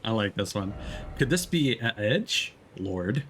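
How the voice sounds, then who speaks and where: A man's voice: lowish voice, very animated, measured pace, a bit bright. A man giving casual let's play commentary as a game streamer, musing and thinking aloud. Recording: clear background noise behind voice.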